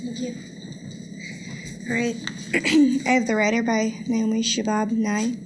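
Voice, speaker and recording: A woman's voice: on the high side, level tone, slow pace. A young adult woman reading poetry aloud at a live event. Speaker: poetry reader. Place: indoor public lecture event. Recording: noisy live room recording.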